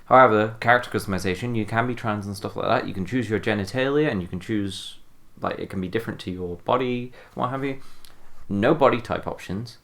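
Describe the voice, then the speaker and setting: A podcast co-host chatting casually about video games in a rambling, halting way with frequent "like". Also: mid-range voice, a bit bright.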